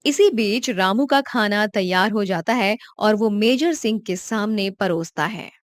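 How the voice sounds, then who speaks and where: A woman narrating a cartoon story in a calm, even storytelling voice. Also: medium pitch, a little slow, no echo.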